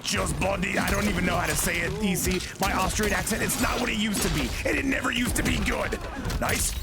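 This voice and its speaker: Hyped guy casting a fighting game tourney, highish gravelly voice, loud music under him. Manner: hyped, rapid shout-outs to chat. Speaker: tournament stream host and commentator. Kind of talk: live fighting-game commentary.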